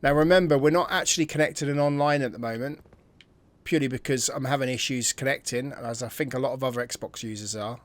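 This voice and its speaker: A man's voice: medium pitch, quick pace. A man giving casual, chatty commentary as he plays a video game, thinking aloud and sometimes trailing off into mutters. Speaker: gaming channel host. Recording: faint game music behind.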